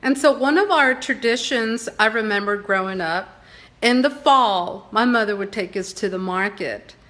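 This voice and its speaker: A woman's voice: mid-range voice, moderate pace, fairly bright tone. Earnest woman from Texas presenting. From Texas, San Antonio. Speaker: presenter sharing family background. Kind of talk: prepared personal presentation.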